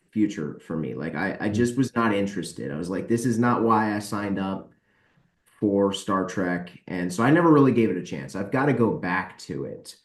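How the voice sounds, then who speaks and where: A man chatting casually and candidly as a podcast guest, giving emphatic opinions. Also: fairly low pitch. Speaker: podcast guest, book publisher and author. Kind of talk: casual opinionated panel chat. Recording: roomy, echoey sound.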